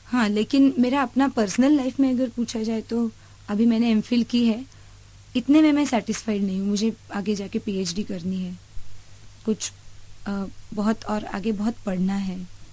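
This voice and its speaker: An Indian woman speaks as an interview guest in a soft, mellow tone. Her voice sits a little high. She answers reflectively, moving between two languages. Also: steady tone, normal speed, rough recording. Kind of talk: reflective interview answers mixing Hindi and English.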